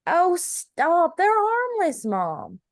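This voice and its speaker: A woman's voice: very high voice, wildly swooping pitch, extremely slow, dry recording, studio quality, heavily acted. A woman playing a self-assured grown daughter who competes in pageants, at a screenplay table read. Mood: exasperated, breezy.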